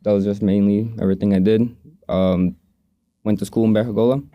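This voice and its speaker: A man's voice: fairly low pitch, level tone, brisk, no echo, muffled recording. A man from Israel who moved to Brooklyn as a child, giving plain, brief, casual answers as a podcast guest. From Israel, moved to Brooklyn at eight. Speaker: podcast guest, amateur basketball player.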